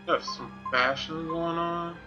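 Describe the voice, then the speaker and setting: A man's voice: a little high, steady tone, slow pace, lo-fi sound. A man giving casual video game stream commentary, muttering to himself in an offhand way. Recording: game music behind the voice. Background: game music.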